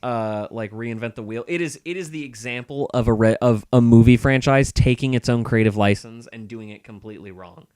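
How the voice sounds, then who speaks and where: Clear-voiced man, sarcastic and nerdy. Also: mid-range voice, brisk, hardly any pauses, darkish tone, no echo, clean studio sound. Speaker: podcast co-host. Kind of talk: casual banter about films.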